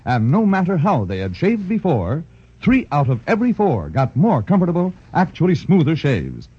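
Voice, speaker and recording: A man reading a shaving cream commercial with a brisk salesman pitch, 1940s radio style. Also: warm tone, breathy edge. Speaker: commercial announcer. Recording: muffled old radio broadcast.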